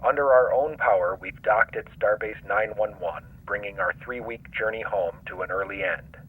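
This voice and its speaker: A steady acting starship captain, played by a man gives orders calmly and with dry humor. His voice sits low and a little husky. The audio sounds dull and lo-fi. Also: moderate pace, dry recording. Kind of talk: fan audio drama acting.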